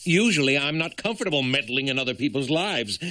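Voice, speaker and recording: A man's voice: mid-range voice, expressive, fairly quick, pushed and bright. A man voicing a cautious, fussy uncle in a cartoon, earnest and a bit hesitant. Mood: reluctant. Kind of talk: animated series acting.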